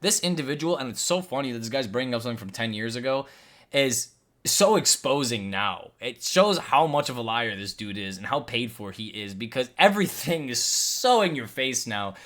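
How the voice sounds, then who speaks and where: A man's voice: medium pitch, very animated, normal speed. Heated, argumentative man. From Lebanon. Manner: argumentative, emphatic, repeats points, rising to near-shouts. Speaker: commentator reacting to clips. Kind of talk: heated reaction commentary.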